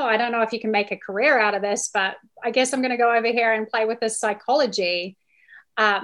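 A woman's voice: medium pitch, a bit bright. A woman with a New Zealand accent telling her story casually and candidly as a podcast guest.

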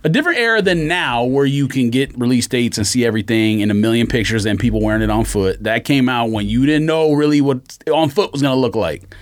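A man in a casual, animated sneaker debate, emphatic and slangy, repeating himself for effect. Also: medium pitch, fast talker. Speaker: sneaker podcast host or panelist. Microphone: podcast studio microphone.

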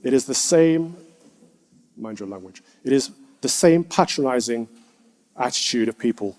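A man, a clergyman, giving a formal, measured speech to an assembly. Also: medium pitch, expressive, a little mellow. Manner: measured, firm oratory.

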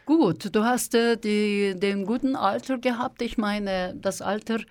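A woman hosting a radio interview, speaking with many pauses and fillers. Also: mid-range voice, darkish tone. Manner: hesitant, with frequent fillers. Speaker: radio host.

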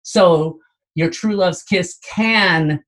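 Woman, slightly low voice, casual podcast host, explanatory, conversational. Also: extremely slow. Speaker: podcast host quizzing a guest. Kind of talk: casual chat with quiz explanations.

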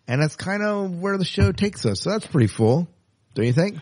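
A man's voice: high-pitched, big pitch swings, moderate pace, darkish tone, no echo, studio quality. A man hosting a podcast in a casual, chatty and enthusiastic way. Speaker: podcast host.

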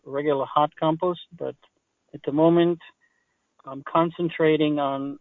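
An older man from Israel with an Israeli accent, speaking as a guest farmer in halting, pause-filled answers. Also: fairly high pitch, fairly even intonation, no room sound. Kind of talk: interview answers. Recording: tinny phone line.

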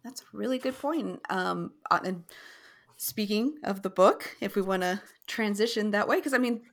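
A woman's voice: medium pitch, normal speed. A young woman hosting a podcast, enthusiastic and gushing, with a scratchy voice today. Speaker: podcast host. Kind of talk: casual podcast interview.

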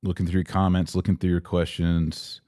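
A man's voice: sits low, little pitch movement, moderate pace, mellow, no echo, clean studio sound. An American man hosting a casual livestream, chatting informally with playful slang as he answers viewers. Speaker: podcast host.

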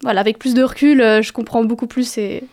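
Young woman guest, fairly high voice. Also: fairly even intonation, fast, a little mellow. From Paris region, France. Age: young adult. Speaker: guest, dancer. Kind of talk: casual interview chat.

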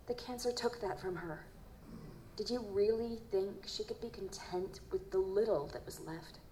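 A gentle, earnest psychic, played by a woman, speaks with a soft-edged, mellow tone. Her delivery is hesitant and solemn, as in audio drama, with some background noise around her. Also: medium pitch, normal speed, close to an everyday voice, low-quality recording. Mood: somber, thoughtful. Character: earnest, gentle female psychic who reads ghostly impressions. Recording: live performance with background noise.

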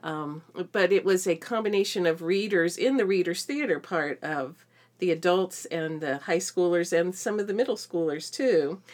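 A woman's voice: lowish voice, expressive, normal speed. A middle-aged woman chatting casually as a podcast co-host, recounting her own experiences. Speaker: podcast co-host, homeschooling mother. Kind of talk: casual conversational chat.

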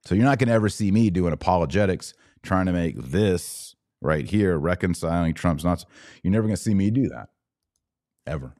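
A man's voice: sits low, lively intonation, normal speed, a little mellow. A man hosting a podcast, giving conversational religious and political commentary in an emphatic, opinionated way with short declarative statements.

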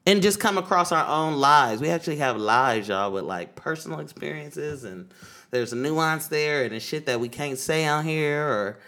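A man's voice: fairly high pitch, expressive, moderate pace, pushed and bright. A man from Oakland co-hosting a podcast, telling stories in a loose, slangy way and swearing freely. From East Oakland, California. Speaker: podcast co-host. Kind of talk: casual podcast chat.

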